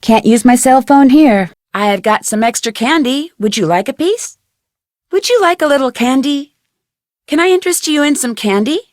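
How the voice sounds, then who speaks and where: A woman playing an inquisitive, plucky young female amateur detective in a video game, speaking in an earnest, expressive way. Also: high voice, normal speed, dry recording, studio quality. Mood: bright, friendly.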